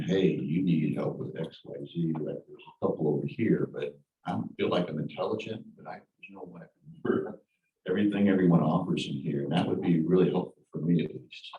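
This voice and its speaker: A veteran at a meeting asking questions in a rambling, hesitant way. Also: male voice, a bass voice, muffled recording. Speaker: veteran attendee. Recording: echoey meeting room, far from mic.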